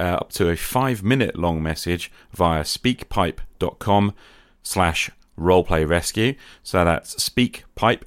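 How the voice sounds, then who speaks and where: A man's voice: low voice, fairly bright tone, dry recording, clean studio sound. A man speaking to listeners as the host of a podcast.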